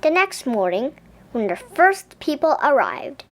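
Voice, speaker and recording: A woman narrates a picture book for children in a high voice. Her delivery is very animated and unhurried, shaping each phrase for young listeners. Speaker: storybook reader. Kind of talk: expressive children's story narration.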